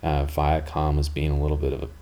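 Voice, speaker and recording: A man's voice: very deep voice, monotone, quick pace, mellow. A man in his thirties from Canada, hosting a podcast in a casual, rambling monologue full of fillers and asides. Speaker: podcast host.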